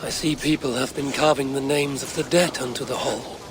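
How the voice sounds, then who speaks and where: A man's voice: mid-range voice, steady tone, close to an everyday voice. Man acting a solemn Viking warrior, noisy ambience. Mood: solemn, hushed. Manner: measured, solemn, murmuring to himself. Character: brooding Viking warrior and clan leader, investigating. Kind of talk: video game cutscene acting.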